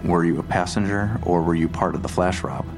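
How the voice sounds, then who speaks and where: Police detective, deep voice, creaky, steady, procedural questioning, audio drama, music behind. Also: male voice, brisk. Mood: probing. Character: steady, probing male police detective interviewing a witness.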